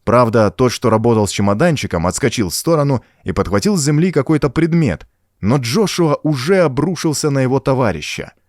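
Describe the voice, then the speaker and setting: A man's voice: medium pitch, a little mellow, no room sound, clean studio sound. A man reading a pulp horror story as an audiobook, in a dramatic, scripted storytelling manner. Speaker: audiobook narrator.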